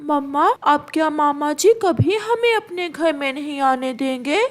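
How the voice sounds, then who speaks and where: A woman's voice: sits high, lively intonation, a little slow. A woman voicing an innocent, curious little boy bird in a children's cartoon, with a childlike questioning manner. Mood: worried. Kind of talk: Hindi children's cartoon dubbing.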